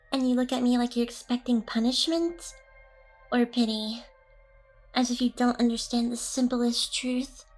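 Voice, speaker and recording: A woman's voice: on the high side, darkish tone. A woman playing an ancient, smug, seductive demon boss in an ASMR roleplay, with an intimate, teasing delivery. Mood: possessive, pleading.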